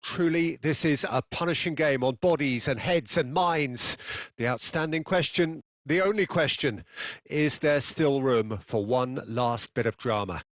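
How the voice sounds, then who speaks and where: A man's voice: medium pitch, unhurried, dry recording, close to an everyday voice. A man voicing a football video game's play-by-play commentator, with dramatic, punchy phrasing. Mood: engaged, dramatic.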